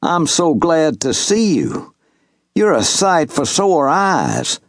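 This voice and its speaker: Brief: man voicing a young man back home, grieving, urgent questions, measured storybook read. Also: a little high, huge pitch swings, no echo, studio quality, pitched above the performer's own voice, full character voice. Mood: relieved.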